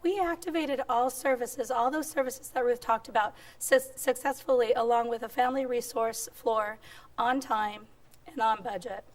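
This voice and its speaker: A woman in her forties giving a conference presentation at a podium, her voice strained and squeaky, upbeat with frequent ums. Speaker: hospital executive presenter. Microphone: podium microphone.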